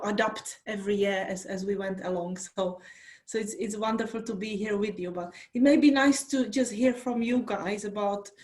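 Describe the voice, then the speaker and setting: A woman's voice: mid-range voice, darkish tone. A woman with a Czech accent, a guest speaker giving an enthusiastic, chatty workshop talk over a video call. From Czech Republic. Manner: enthusiastic, chatty, many fillers.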